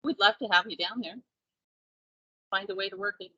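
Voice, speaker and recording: Forceful, husky woman at a meeting. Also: normal speed, no echo. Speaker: business association member. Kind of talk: informal meeting discussion. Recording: muffled, low-fidelity meeting recording.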